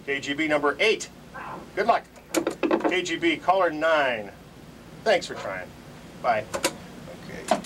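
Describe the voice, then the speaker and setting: A man's voice: fairly high pitch, expressive, unhurried, long pauses, very noisy. A middle-aged American man working as a radio DJ, talking in a casual, wry, easygoing patter. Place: small radio studio. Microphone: large studio mic on a boom arm.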